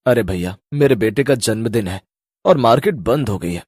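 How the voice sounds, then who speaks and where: A man plays an anxious everyday father in a cartoon horror tale. His voice is husky and swings animatedly as he sounds puzzled and worried, recorded dry. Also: on the low side, expressive, moderate pace, a little mellow, no room sound. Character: anxious, bewildered ordinary husband and father shopping. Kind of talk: cartoon horror story character acting.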